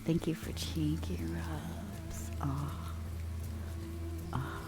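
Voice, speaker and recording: A young adult woman streamer chatting softly with her viewers, drifting in and out of whispers, relaxed and affectionate. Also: deep voice, drawn-out words, music in the background. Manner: relaxed, affectionate, reads and answers chat; drifts between soft talk and whispers. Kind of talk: soft-spoken ASMR chat with viewers.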